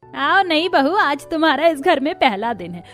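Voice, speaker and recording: Woman voicing a grumbling, demanding older mother-in-law, high-pitched. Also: sing-song, moderate pace, fairly bright tone. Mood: eager, pleased. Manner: complaining, bossy. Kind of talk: Hindi cartoon story dialogue. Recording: music behind the voice.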